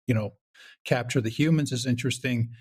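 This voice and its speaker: A man's voice: mid-range voice, brisk, darkish tone, husky. A man speaking casually as an interview guest, explaining his writing and acting out imagined reactions as he goes. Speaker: guest, comedy writer and show creator.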